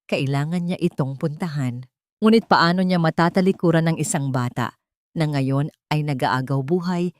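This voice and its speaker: A woman with a fairly low voice narrates a dramatic audio story. The recording is dry and close, with no room sound around her. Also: a little mellow, no echo.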